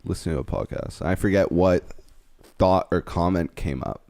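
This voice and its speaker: Casting: young man in his twenties, lowish voice, dry close sound, loose rambling podcast talk. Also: normal speed, darkish tone, dry recording. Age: 20s. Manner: rambling, frank, trails off mid-thought. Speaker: podcast co-host. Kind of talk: casual chat.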